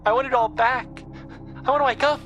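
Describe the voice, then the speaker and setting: An actor in a horror audio drama playing a sad, frightened young ghost girl who talks haltingly and repeats things like a child. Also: sits high, fairly even intonation, a bit bright, muffled recording, faint music behind. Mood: distressed, pleading. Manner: halting, repetitive, childlike speech.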